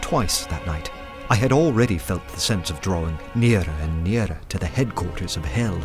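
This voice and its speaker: An actor playing an undercover detective, narrating a radio play in a measured, literate, suspenseful manner. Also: male voice, deep voice, animated. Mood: mounting dread. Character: undercover male detective narrating his tense adventure. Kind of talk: dramatic first-person narration over music. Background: music.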